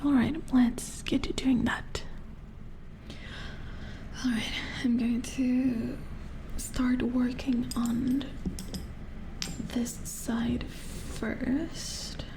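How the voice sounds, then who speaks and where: A woman playing a calm, matter-of-fact robot repair engineer in a soft-spoken ASMR roleplay, murmuring as if thinking aloud in a breathy whisper. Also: on the high side, drawn-out words, long pauses, noisy background, exaggerated voice. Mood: focused, soothing. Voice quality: breathy whisper with creaky edges.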